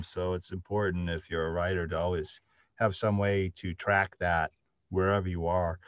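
A man's voice: sits low, no echo. A middle-aged man talking casually as a writer on a panel over a video call. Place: indoor room, on a video call. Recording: dull, dry video call audio.

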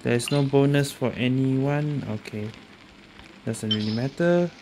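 A woman's voice: very deep voice, unhurried, noisy background. A woman giving casual gameplay commentary as a streamer, muttering and repeating short phrases. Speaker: gaming streamer.

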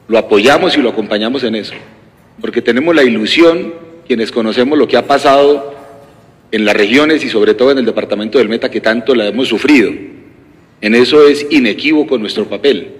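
A man giving a measured, formal, respectful address as a regional governor. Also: medium pitch, big-room sound.

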